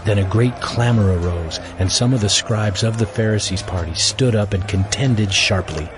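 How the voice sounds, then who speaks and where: A man's voice: fairly low pitch, normal speed, music in the background. A man narrating scripture as a scripted audio Bible reading.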